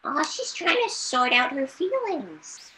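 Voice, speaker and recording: A woman's voice: sits high, big pitch swings, takes it slowly, sharp tone, a little hiss or hum, low-quality recording. A woman co-hosting playful chat for children in an eager, childlike manner. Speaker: storytime co-host.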